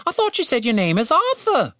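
A woman's voice: on the high side, huge pitch swings, brisk, a bit bright, no echo, clean studio sound, voice pushed up. A woman voicing a polite, easily flustered male talking bird in an audiobook story, apologetic and chatty. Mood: hopeful, polite.

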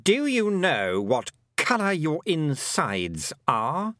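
A man's voice: on the high side, huge pitch swings, slow pace, a bit bright, dry recording, studio quality, pitched above the performer's own voice, full character voice. A man voicing an imperious fairy queen in a storybook, haughty and demanding, with a sweetly menacing condescension. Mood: sweet menace.

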